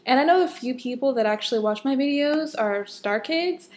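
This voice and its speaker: A young adult woman with a slightly hoarse, husky voice, giving a casual, emphatic rant as a vlogger. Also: sits high, animated, normal speed, darkish tone. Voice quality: a bit husky. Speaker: vlogger, fan of a TV show.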